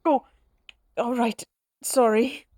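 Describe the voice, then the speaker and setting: A dry, close-sounding recording carries a slow, fairly high voice. It is a woman acting a gentle, lonely character in an audio drama. She is earnest and hesitant, full of wistful fondness. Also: steady tone, no room sound. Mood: flustered, sad.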